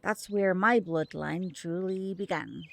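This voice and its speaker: A woman playing a protective, gentle mother of a magical bloodline in an audio drama, with measured, solemn phrasing. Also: fairly low pitch, creaky. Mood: solemn, grave.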